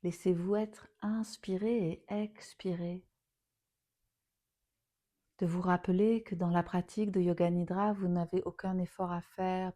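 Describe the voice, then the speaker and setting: Female meditation guide, lowish pitch, unhurried and soothing, phrases fading softly into breath. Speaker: yoga nidra teacher.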